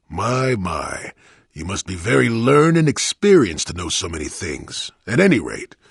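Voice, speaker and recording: A man playing a crotchety, wry old hermit in a video game, with a gravelly, husky voice and a sardonic storyteller's manner. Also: lowish voice, fairly bright tone, dry recording, clean studio sound, full character voice. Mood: testy, wry. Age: older. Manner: cantankerous, sardonic, rambling storyteller. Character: crotchety old male hermit, former Jedi, wry and weary. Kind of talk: video game character acting.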